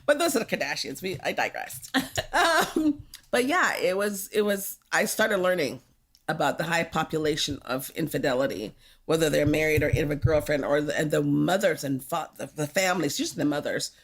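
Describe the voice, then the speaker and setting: A woman's voice: deep voice, animated, moderate pace, husky. An older woman from Jamaica talking frankly in a podcast conversation, often laughing as she reflects.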